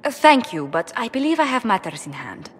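A woman's voice: on the high side, big pitch swings, brisk, slightly rough, long reverb tail. A woman voicing a poised, courteous court diplomat in a video game, speaking formally. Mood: polite, guarded. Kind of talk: video game dialogue acting.

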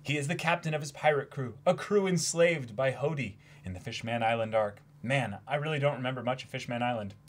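A young man talking casually as co-host of a livestream, in a rambling, jokey, animated way. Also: mid-range voice, brisk, hardly any pauses. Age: young adult. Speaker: co-host of a manga reading stream. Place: indoor room, seated before a backdrop.

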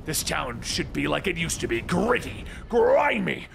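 Manic, shrill, gleeful clown villain. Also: male voice, big pitch swings, a little slow, creaky, fairly bright tone, faint music behind, full character voice. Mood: mock outrage.

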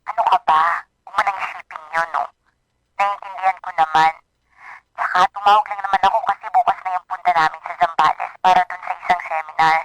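A woman's voice: lowish voice, fairly even intonation, a little fast, fairly bright tone, low-quality recording. An actress playing a friendly, caring young woman in a radio drama, heard through a telephone filter. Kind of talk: Filipino radio drama acting.

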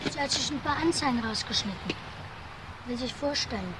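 A young, artless outsider in a crime drama. Her high voice moves in simple short phrases over clear outdoor noise. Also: expressive, fairly quick. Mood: plain, reminiscing.

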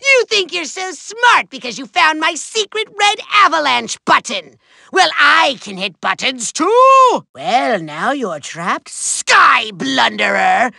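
A man voicing a short-tempered megalomaniac villain in a giant robot body for a video game, shrill, raspy and nasal, pompous, bombastic and gloating. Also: sing-song, a little slow, pushed and bright, no echo, exaggerated voice. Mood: boastful, menacing. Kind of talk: theatrical cartoon villain acting.

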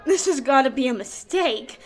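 A woman playing an excitable, brisk teenage gang girl in a comic dub. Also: high voice, faint music behind. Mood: worried.

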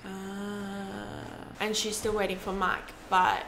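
A woman's voice: mid-range voice, little pitch movement, slow pace, creaky, background noise. A young woman casually reacting as she plays a video game beside a co-host. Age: young adult. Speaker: gaming co-host playing the game. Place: indoor setup, seated beside co-host. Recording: roomy, loud game audio underneath.

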